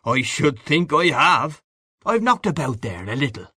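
A man's voice: a little high, sing-song, measured pace, bright, forceful tone, husky, dry recording, clean studio sound, voice pushed up, exaggerated voice. A man voicing a hearty, boastful newspaperman in an audiobook, breezy, expansive and patronising. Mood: boastful, cheerful. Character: boisterous, boastful, hearty Irish newspaperman back home. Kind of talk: audiobook character dialogue.

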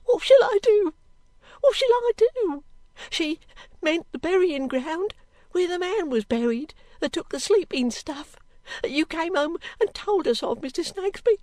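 A woman's voice: high voice, lively intonation, a little slow, a little mellow, no echo, voice pushed up. A woman voicing a frightened, piteous young servant girl in an audiobook, pleading and repeating herself in a plaintive way. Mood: frightened, distraught. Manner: plaintive, pleading, breathlessly repetitive. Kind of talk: audiobook character acting.

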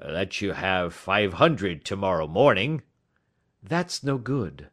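A man voicing a gruff, hot-tempered poultry salesman in an audiobook, blustering, snappish and emphatic. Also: low-pitched, expressive, slow pace, a bit bright, exaggerated voice. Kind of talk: audiobook character dialogue.

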